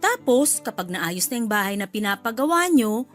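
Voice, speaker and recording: A hospitable middle-aged mother in a Filipino radio drama, her voice on the high side. She is warm and gently persuasive over soft background music. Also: big pitch swings, moderate pace. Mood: insistent, persuasive.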